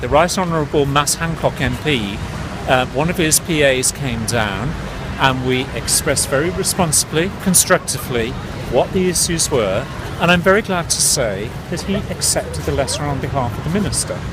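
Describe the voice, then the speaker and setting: A man from the UK, a consumer campaigner, talking earnestly and directly to viewers outdoors. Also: on the high side. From United Kingdom. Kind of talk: outdoor campaign vlog to camera. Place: outdoors on a city street with traffic. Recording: outdoor street noise.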